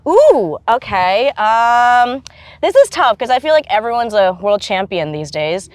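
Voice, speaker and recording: A woman's voice: on the high side, very animated, a little slow, no room sound. A woman in her thirties whose first language is Vietnamese, a stunt performer and martial artist chatting in a casual interview, jokey and self-deprecating, with lots of fillers and laughs.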